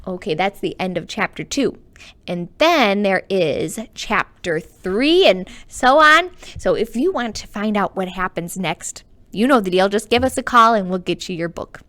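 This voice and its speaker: A woman working as a children's librarian, reading a storybook aloud in an animated, chatty way and speaking straight to young listeners. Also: medium pitch. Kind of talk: expressive storybook read-aloud with chatty asides.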